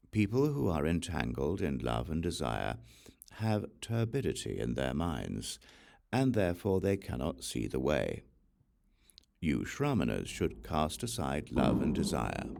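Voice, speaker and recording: A man reading scripture aloud as an audiobook narrator, in a measured, solemn recitation. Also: rumbling low, gravelly, a little mellow. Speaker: audiobook reader.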